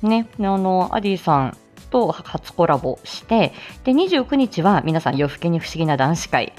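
Lively woman chatting on a livestream. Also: fairly low pitch, moderate pace, soft background music. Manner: giggly, chatty and playful. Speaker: host of a live audio stream; speech-language therapist. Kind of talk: casual live-stream chat with listeners.

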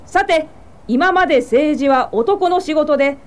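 An actress playing a dignified, encouraging women's suffrage leader in a Japanese radio drama, with formal, assured delivery. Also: female voice, sits high, unhurried, slight background noise. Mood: rousing speech.

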